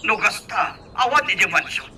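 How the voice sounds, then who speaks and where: Male actor as defensive fellow, high sharp voice, off-mic with faint noise, radio drama. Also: expressive, normal speed, full character voice. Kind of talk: Ilocano radio drama acting. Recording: distant mic with faint noisy ambience.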